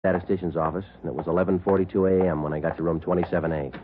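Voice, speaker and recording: An American actor playing a terse, world-weary police detective sergeant in a 1940s radio drama, with a dry, matter-of-fact delivery. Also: male voice, low-pitched, racing, over loud music, close to an everyday voice. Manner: clipped, matter-of-fact, deadpan. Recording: noisy, narrow-band old radio recording.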